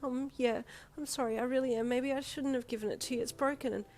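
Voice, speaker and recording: A woman's voice: sits high, fairly even intonation, quick pace, pitched above the performer's own voice. A woman voicing a shy, apologetic young person who is easily startled and speaks in a hesitant, stammering way. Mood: apologetic, nervous. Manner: hesitant, stammering, softly spoken.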